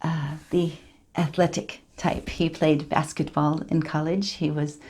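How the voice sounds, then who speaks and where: A woman's voice: deep voice, flat delivery, measured pace, darkish tone, breathy edge, exaggerated voice. A woman performing a dramatic monologue as an earnest, emotional survivor, confiding and hesitant in direct address. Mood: fond, wistful.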